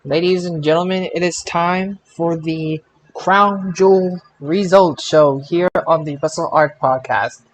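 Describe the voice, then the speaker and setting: A man hosting a casual panel podcast about wrestling results, speaking in an emphatic, excitable way. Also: a little high, unhurried, a little hiss or hum, low-quality recording. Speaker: podcast host.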